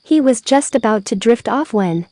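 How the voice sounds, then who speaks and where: A woman reading a children's bedtime story aloud in a measured storytelling manner. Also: on the high side, expressive, mellow, no echo. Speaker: storybook reader.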